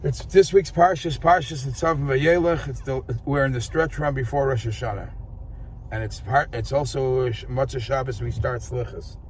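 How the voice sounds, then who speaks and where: Halting storytelling man, outdoors near traffic. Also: mid-range voice, fairly even intonation. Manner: storytelling, halting with many restarts and fillers. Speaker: rabbi giving a weekly Torah talk. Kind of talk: informal Torah talk with a story. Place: outdoors in an early-morning street.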